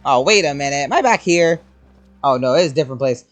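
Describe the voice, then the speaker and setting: A man's voice: medium pitch, lively intonation, fast talker. A man giving casual gameplay commentary as a streamer, rambling and reactive, swearing casually. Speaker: game streamer. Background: game music.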